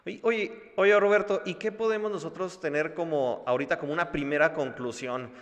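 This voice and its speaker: A man's voice: fairly high pitch, a little fast. A man, hosting a news interview at a desk microphone. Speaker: interview show host. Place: indoor studio room. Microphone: desk microphone on a stand. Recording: long hall-like reverb.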